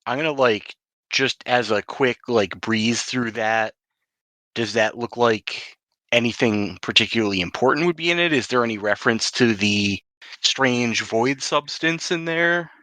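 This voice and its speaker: A man's voice: mid-range voice, measured pace, pushed and bright, dry recording. A man playing a tabletop role-playing game, chatting casually and inquisitively in informal language with some mild swearing.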